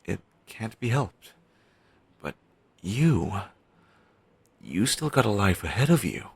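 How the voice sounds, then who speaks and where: A man playing a weary, caring undead best friend in an intimate audio roleplay, talking casually and earnestly with halting phrases. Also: lowish voice, very animated, vocal fry, husky, dry recording, exaggerated voice. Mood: worried, gentle. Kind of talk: audio roleplay, intimate one-sided dialogue.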